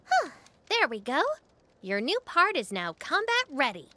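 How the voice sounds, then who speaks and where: Bright, high-voiced young mechanic. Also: female voice, huge pitch swings, measured pace, full character voice. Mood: matter-of-fact. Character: confident, practical young woman mechanic. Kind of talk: video game voice acting.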